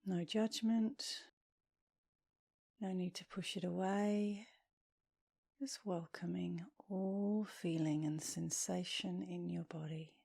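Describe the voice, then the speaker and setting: A woman leading a guided meditation as an instructor, speaking softly and soothingly, with gentle, breathy phrase endings. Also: lowish voice, fairly even intonation. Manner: soothing, gentle, unhurried with long pauses.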